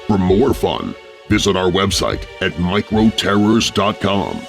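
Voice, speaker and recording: A male podcast host delivers a scripted announcement. His voice is low and gravelly, with music playing behind him. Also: sing-song, unhurried.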